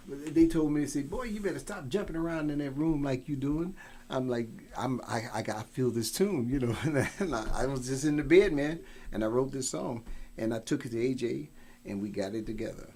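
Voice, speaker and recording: A man's voice: medium pitch, fairly quick, vocal fry, a little mellow. A man with a Detroit accent, a singer and songwriter chatting as an easygoing, upbeat interview guest. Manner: easygoing and upbeat, laughs often. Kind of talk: casual interview chat.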